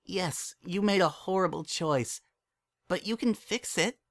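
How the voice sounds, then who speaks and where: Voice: mid-range voice, a little slow, pitched above the performer's own voice, close to an everyday voice. A narrator voicing a young pony mare, a hurt but hopeful daughter, emotional and pleading, in a dramatic story reading. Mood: earnest, hopeful.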